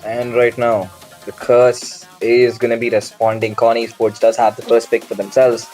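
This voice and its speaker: A man casting a live esports match, asking pointed questions about the plays, his voice worn from shouting. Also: mid-range voice, flat delivery, normal speed, faint music behind. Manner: questioning, incredulous analysis. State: strained voice after shouting. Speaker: esports caster.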